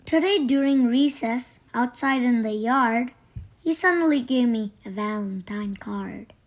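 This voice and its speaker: A woman reading children's poems aloud from a storybook in a deliberate way. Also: on the high side. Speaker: storybook reader. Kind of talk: read-aloud children's poetry.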